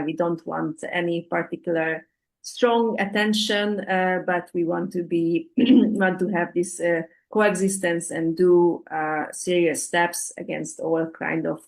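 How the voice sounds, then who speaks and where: A woman, answering audience questions in a halting way, full of ums and uhs, over a video call. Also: fairly low pitch, gravelly, rough recording. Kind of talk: hesitant Q&A answers, many fillers.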